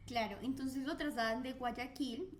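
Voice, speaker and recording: A woman's voice: sits high, steady tone, normal speed. A woman, recounting a crime case on a chat podcast with frequent fillers. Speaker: co-host.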